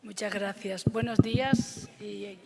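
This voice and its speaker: A woman's voice: mid-range voice, fairly even intonation, slow, vocal fry, very noisy. A woman from Córdoba, Spain, with an Andalusian accent, giving a formal conference address as a government official, earnest and hesitating with fillers. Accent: Andalusian Spanish. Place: large theater stage. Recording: long hall reverb from a theater.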